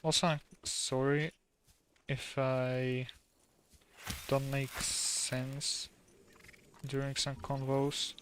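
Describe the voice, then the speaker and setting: A soft-spoken man with a Slovak accent, a native Slovak speaker, gives calm, casual commentary while streaming a game. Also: medium pitch, extremely slow, pauses often, a little hiss or hum.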